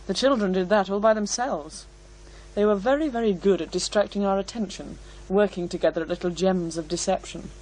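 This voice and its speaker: A woman's voice: medium pitch, brisk, darkish tone, natural voice, poor audio. An actress playing a cool, bitter woman in a radio drama, with a disdainful, articulate delivery. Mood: bitter, emphatic. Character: cool, bitter, scornful woman, sharp-tongued witness. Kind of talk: naturalistic radio drama dialogue.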